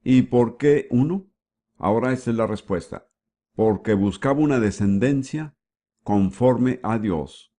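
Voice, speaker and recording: A man giving the voice-over for a sermon, with a measured preaching delivery and frequent rhetorical questions. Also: mid-range voice, dark tone. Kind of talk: dubbed sermon.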